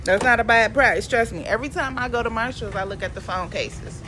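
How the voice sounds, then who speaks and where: A woman's voice: a little high, brisk, bright, forceful tone, slight background noise. A woman with a stuffy, sniffly cold, chatting playfully in slang as she narrates a shopping vlog from home. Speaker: vlogger. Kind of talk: casual shopping vlog voiceover. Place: home, recorded voiceover.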